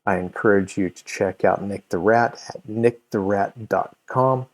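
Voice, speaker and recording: Male host for informal show intro: somewhat low register, unhurried, deliberate, halting delivery. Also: darkish tone. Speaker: host and comedy performer.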